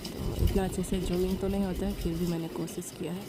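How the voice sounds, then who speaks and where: A woman's voice: fairly low pitch, level tone, fast talker, heavy background noise. A young woman with a Northeast Indian accent chatting casually to viewers, explaining village life in a simple way. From Arunachal Pradesh, India. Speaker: village vlogger. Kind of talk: casual chatty vlog narration.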